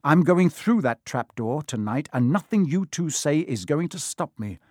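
A man's voice: mid-range voice, expressive, moderate pace, no room sound, studio quality, natural voice. A man voicing a brave, headstrong schoolboy hero in a storybook reading, speaking with urgent insistence. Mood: impassioned.

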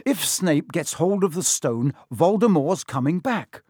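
A man's voice: medium pitch, expressive, normal speed, dry recording, clean studio sound, lightly acted. A man voicing a brave, headstrong schoolboy hero in a storybook reading, speaking with urgent insistence. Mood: impassioned.

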